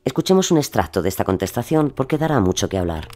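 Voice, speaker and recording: A man's voice: medium pitch, sing-song, fast talker, a little hiss or hum. A man delivering scripted documentary narration for an audio series. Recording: loud music under narration.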